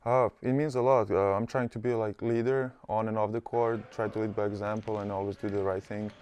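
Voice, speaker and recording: A man's voice: lowish voice, level tone, fairly quick, darkish tone, clean tone, dry recording. A young man from Serbia with a Serbian accent, answering interview questions casually with many fillers in a studio. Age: young adult. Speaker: college basketball player, guest. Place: studio set.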